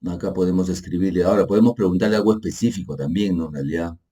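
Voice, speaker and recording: Deep, dark-voiced man walking through a tutorial. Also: husky. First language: Spanish. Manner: casual and explanatory, frequent fillers like "pues" and "¿no?"; halting, self-correcting narration while working on screen. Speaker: energy manager giving a software tutorial. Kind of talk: unscripted screen-share tutorial.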